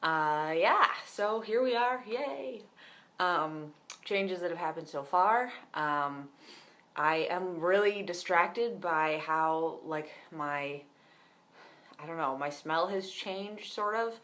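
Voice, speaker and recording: A man's voice: a little high, takes it slowly, bright, forceful tone. A young man with a voice that cracks now and then gives a casual, rambling video diary update at home, with many ums and likes. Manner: rambling, lots of "um" and "like". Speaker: vlogger.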